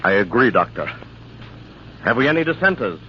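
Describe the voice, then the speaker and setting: A man playing a brisk, formal army major, commanding in the manner of old-time radio drama. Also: medium pitch, very animated, close to an everyday voice. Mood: courteous, formal. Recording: dull, faintly noisy old radio transcription.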